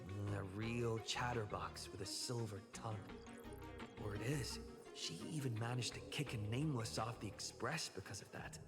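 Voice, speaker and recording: A man voicing an earnest, expressive young adventurer in a video game, reassuring and a little flustered. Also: fairly low pitch, moderate pace, loud music behind the voice, natural voice. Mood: unsure. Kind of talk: game voice acting.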